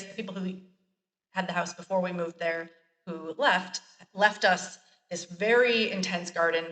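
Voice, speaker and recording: A woman in her thirties, a college librarian chatting as a guest, self-deprecating and quick to laugh. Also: lowish voice, fairly bright tone, distant mic. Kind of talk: casual interview chat. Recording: echoey room, voice from off the mic.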